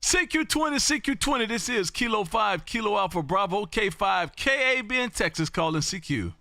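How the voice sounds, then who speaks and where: An American man hosting a live amateur radio session, chatting and calling for contacts in an animated, folksy, booming way. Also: normal speed, vocal fry, a little hiss or hum.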